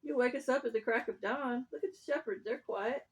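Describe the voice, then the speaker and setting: A motherly storybook cow, played by a woman, fairly high in pitch. She hushes and chides like the one keeping order in the barn. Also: fairly quick, breathy edge. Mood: chiding. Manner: hushing, chiding.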